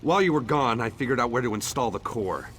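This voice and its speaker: A man's voice: mid-range voice, lively intonation, fast talker, a bit bright, slight background noise, close to an everyday voice. A man playing a wry, sceptical townsman in conversational video game dialogue. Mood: curious, uncertain. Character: wry, sceptical male townsman seeking his fate. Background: faint game music.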